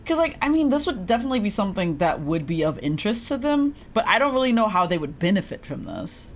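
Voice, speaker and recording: Lively, chatty woman streaming a game. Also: mid-range voice, fast, a little hiss or hum. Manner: chatty, giggly, swears casually. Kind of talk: casual live gameplay commentary.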